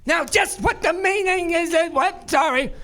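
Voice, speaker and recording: A man's voice: extremely high pitch, measured pace, sharp tone, a little hiss or hum, full character voice. A man playing a flustered papa. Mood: demanding. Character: flustered fatherly man stumbling over lines. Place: live theater stage.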